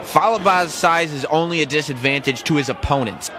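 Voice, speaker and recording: A man calling a wrestling match as a color commentator, excitable and often shouting for emphasis. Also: fairly high pitch, a little fast, noisy background. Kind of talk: live play-by-play commentary.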